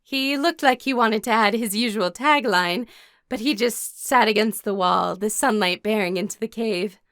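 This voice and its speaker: A woman's voice: medium pitch, normal speed, a bit bright, no echo, studio quality, close to an everyday voice. A woman playing a composed, caring teenage warrior girl, narrating an audio drama in the first person and speaking her dialogue.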